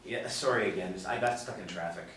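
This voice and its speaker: A man's voice: low voice, fast, fairly bright tone. A man playing an apologetic, hesitant young university student in a script table read. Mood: flustered, apologetic. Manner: hesitant, stammering. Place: small indoor room, group table reading. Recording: echoey room, distant mic, faint noise.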